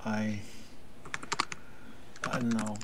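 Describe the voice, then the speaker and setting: A man's voice: on the low side, completely flat delivery, drawn-out words, a crowd in the background. A man hosting a podcast in casual chat, with a wry, self-deprecating, grumbling manner. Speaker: podcast host.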